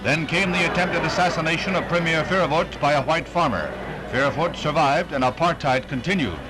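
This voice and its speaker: American newsreel man over loud music. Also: a little high, moderate pace, low-quality recording. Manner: dramatic, authoritative announcer delivery. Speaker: newsreel narrator. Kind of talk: scripted 1960s newsreel narration. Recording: vintage 1960 newsreel soundtrack.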